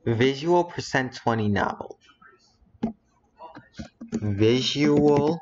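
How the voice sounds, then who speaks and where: Slow-talking streamer thinking aloud. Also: medium pitch, lively intonation, a little mellow, highs cut off, slight background noise. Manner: thinks aloud while browsing, muttering asides. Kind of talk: casual game stream chat.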